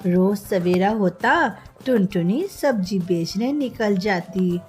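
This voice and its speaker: A woman's voice: medium pitch, slow, darkish tone, faint music behind. A woman narrating a scripted children's story as a storyteller.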